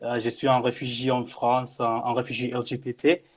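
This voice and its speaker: Halting man with a Zimbabwean English accent. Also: medium pitch, monotone. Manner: halting non-native French with repetitions. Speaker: panel guest, former mentee. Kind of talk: personal testimonial.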